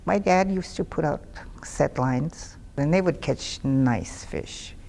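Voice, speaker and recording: An older woman, a community elder from Minnesota, recalling the river in a reflective, unhurried way with many fillers. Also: low-pitched, vocal fry, a little mellow, slight background noise. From Minnesota, United States. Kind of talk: interview recollection.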